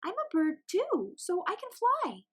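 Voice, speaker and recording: Woman as a small, high-voiced, earnest penguin girl. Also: huge pitch swings, a little slow, heavily acted. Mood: hopeful, earnest. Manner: plaintive, earnest. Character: small timid but stubborn young girl penguin, dreamy.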